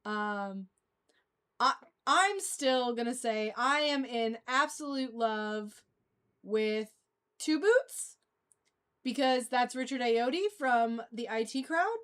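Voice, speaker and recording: High-voiced woman chatting casually. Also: animated, slow, a bit bright, clear, resonant tone. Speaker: livestream host. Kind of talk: casual fan chat.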